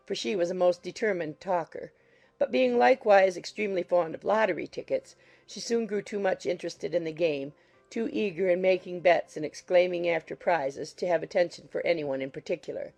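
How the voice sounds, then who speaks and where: Lower-pitched, creaky woman narrating. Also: darkish tone. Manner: measured, literary reading. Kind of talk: scripted audiobook narration.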